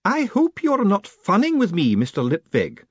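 A man voicing a formidable, prim older woman clerk who makes stern demands in a high, strained voice. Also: huge pitch swings, normal speed, slightly rough, no room sound, highs cut off, heavily acted.

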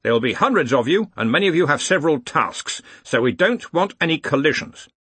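A man voicing an impatient old head of a wizard university, with a brusque, booming, commanding manner. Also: wildly swooping pitch, a little fast, sharp tone, husky, dry recording, exaggerated voice. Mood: brisk, commanding.

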